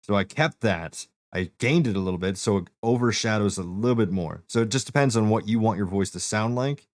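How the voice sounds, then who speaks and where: Deep-voiced man explaining a setup. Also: fairly quick, no room sound, studio quality. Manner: explains steps plainly, fillers, talks to a friend. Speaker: streamer giving setup help. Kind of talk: casual walkthrough.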